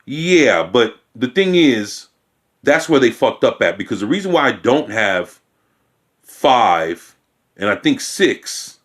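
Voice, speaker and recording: A man giving a casual commentary. He reads a news piece aloud and breaks off into blunt, profane remarks, often restarting his sentences. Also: fairly low pitch. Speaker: video commentator. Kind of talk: casual reaction rant reading news aloud.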